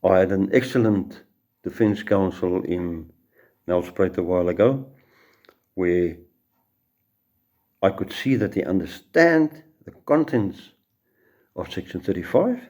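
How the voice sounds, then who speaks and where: Low, mellow South African Afrikaans man. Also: expressive, measured pace, long pauses. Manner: storytelling, repeats words and restarts. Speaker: judge teaching law. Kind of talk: informal lecture with anecdotes.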